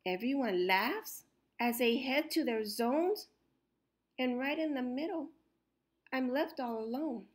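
A woman storyteller reading a rhyming children's picture book aloud expressively, with deliberate, dramatic phrasing and emphasized words. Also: sits high.

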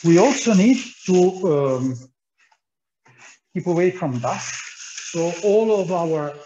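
Man giving a halting lab tour. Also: high voice, animated, mellow, muffled recording, slight background noise. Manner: halting, many fillers and repeated words. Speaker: tour guide at a physics lab. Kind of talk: guided tour explanation. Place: large indoor lab hall.

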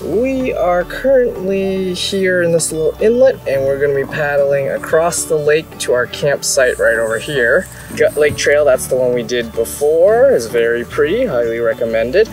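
A man's voice: a little high, moderate pace, hardly any pauses. Chatty young man outdoors by a lake. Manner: relaxed, chatty, explaining gear step by step. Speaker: vlogger. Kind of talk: casual travel vlog narration. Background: background music.

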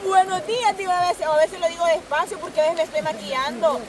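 A young Salvadoran woman in casual group talk outdoors, insistent and quick to talk over others. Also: very high-pitched, steady tone, crowd noise. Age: young adult. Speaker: show participant. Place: outdoors by a river, among a group.

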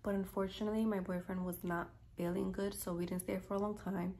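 A young woman vlogger speaks at a middling pitch. She chats informally to the camera in slangy, casual language, as if talking to friends. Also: flat delivery, brisk, dark tone, slight background noise. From Queens, New York, United States. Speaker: lifestyle vlogger.

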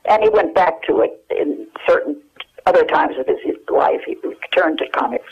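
An American woman in her eighties reminiscing casually and haltingly as an interview guest over the telephone. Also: fairly high pitch, huge pitch swings, gravelly, darkish tone, breathy edge. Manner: halting, searching for memories. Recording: dull, lo-fi telephone hook-up.

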